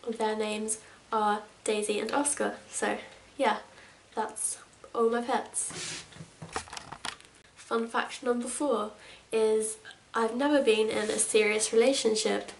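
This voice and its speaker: A woman's voice: a little high, little pitch movement, slow pace, creaky, slight background noise. A woman in her twenties from England, chatting casually to camera as a vlogger, rambling with lots of fillers. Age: 20s. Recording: echoey room, mic at a distance.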